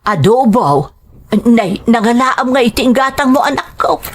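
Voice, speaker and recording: A woman's voice: on the high side, lively intonation, measured pace, a little hiss or hum. A woman playing a humble, loving mother in a radio drama, earnest and plaintive, with an Ilocano accent. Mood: tender, concerned. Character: humble, grateful middle-aged mother, worried and loving.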